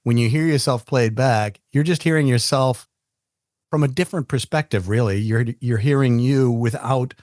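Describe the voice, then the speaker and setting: A man's voice: medium pitch, darkish tone, dry recording, clean studio sound. A man hosting a podcast, chatty and upbeat, talking about voice and recording. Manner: upbeat, chatty, spells out names slowly. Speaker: podcast host. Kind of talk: casual podcast interview. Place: recording studio.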